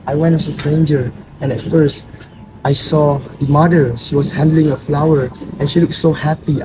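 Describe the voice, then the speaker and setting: A man's voice: fairly high pitch, lightly acted. A man in an amateur play acting a sorrowful, fearful grandfather of eighty-three, speaking in solemn monologue. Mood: troubled, hesitant. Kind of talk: amateur student stage play performance. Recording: very echoey room, distant mic, faint noise.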